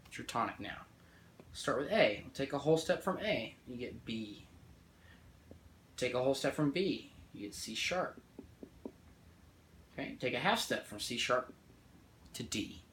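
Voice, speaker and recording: A man's voice: mid-range voice, a bit bright, a little hiss or hum. A man giving a casual music theory lesson. He often checks in with "right?" and restarts or corrects himself while counting out notes. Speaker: music teacher. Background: guitar playing along.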